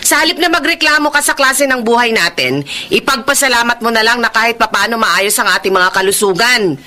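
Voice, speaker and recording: A woman's voice: a little high, a little fast, bright, forceful tone. An actress playing a tired, middle-aged mother of a poor family in a radio drama, scolding and long-suffering. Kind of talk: Filipino radio drama acting.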